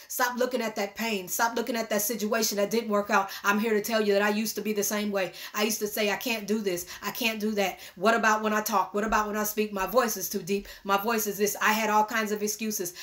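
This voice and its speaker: A woman's voice: mid-range voice, level tone, a little fast, few pauses, fairly bright tone. A middle-aged woman preaching a prophetic word to a phone camera in a small room, earnest and repetitive, often restarting her phrases. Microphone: phone camera at arm's length.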